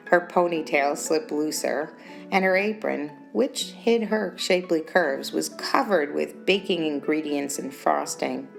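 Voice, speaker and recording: A woman's voice: low-pitched, creaky. A woman reading her own short story as scripted audiobook narration. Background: music.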